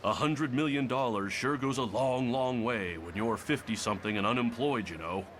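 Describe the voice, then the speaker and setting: A man's voice: mid-range voice, normal speed, background noise, close to an everyday voice. A man playing a middle-aged American senator in a motion comic, folksy, oily and patronizing. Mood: coaxing. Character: folksy, manipulative middle-aged male senator, bullying beneath charm.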